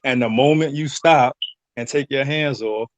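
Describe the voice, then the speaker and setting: A man being interviewed as a radio drama cast member, talking casually in a halting, reflective, informal way over a call. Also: mid-range voice, no room sound, lo-fi sound.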